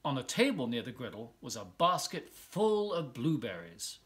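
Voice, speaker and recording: A man reading a children's picture book aloud as a volunteer storyteller, expressively, with drawn-out words and dramatic pauses. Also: medium pitch, very animated, a bit bright.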